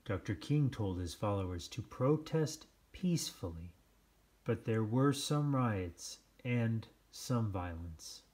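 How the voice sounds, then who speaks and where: A man narrates a children's book in a fairly low voice. He takes it very slowly, with deliberate phrasing and dramatic pauses between short phrases. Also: lively intonation, darkish tone. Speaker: storybook reader. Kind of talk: scripted children's read-aloud narration.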